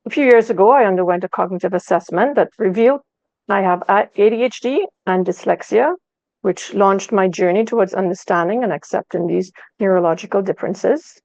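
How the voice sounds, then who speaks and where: A woman from Canada giving a measured, formal introduction on a panel. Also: mid-range voice, creaky, darkish tone, dry recording, highs cut off.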